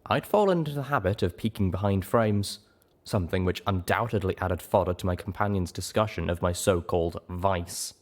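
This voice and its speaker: A man with a low voice narrates fiction. His scripted reading is expressive, with very lively intonation. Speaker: audiobook reader.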